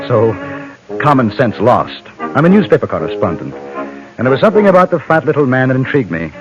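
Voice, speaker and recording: An actor playing a cultured, wry newspaper correspondent in an old radio western, measured and literate. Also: male voice, mid-range voice, muffled recording, lightly acted. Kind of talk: old-time radio drama narration and acting. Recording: vintage radio recording, prominent music.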